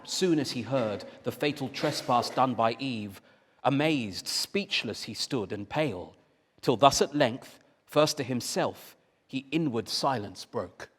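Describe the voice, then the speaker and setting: A man narrating epic verse in a calm, measured storytelling manner. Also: medium pitch, breathy edge. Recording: long hall reverb.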